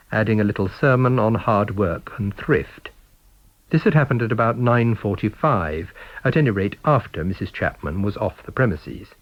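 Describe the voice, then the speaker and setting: A British man narrates a story in a middle-pitched voice with very animated intonation. The sound is close and dry, slightly dull in the top end. He reads as a practised storyteller. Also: no room sound. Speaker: audiobook reader. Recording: dull, band-limited recording.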